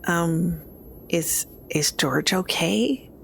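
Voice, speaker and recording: A woman speaks casually as a tabletop game player. Her voice is low with a lot of fry, and there is noise behind her. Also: very slow, a bit bright. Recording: clear background noise.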